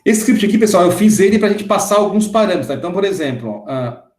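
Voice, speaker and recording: A man's voice: sits high, expressive, fast, darkish tone, reverberant. A man in his thirties teaching a coding lesson, walking through it step by step and often checking in with the class. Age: 30s. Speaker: programming instructor. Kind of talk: screen-recorded coding lesson.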